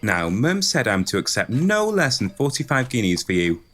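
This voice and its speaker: A naive young farm lad in a pantomime radio play. He is earnest and soft-hearted, with a mid-pitched voice that rises and falls animatedly. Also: big pitch swings, moderate pace. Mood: tender. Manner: earnest, guileless, affectionate. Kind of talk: audio pantomime acting.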